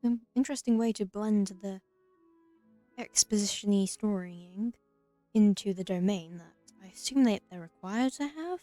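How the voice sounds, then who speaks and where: A woman hosts a let's play with casual, reflective and wry commentary. Her voice is soft-edged and mellow, recorded dry and close with faint game music behind it. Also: medium pitch, moderate pace, dry recording.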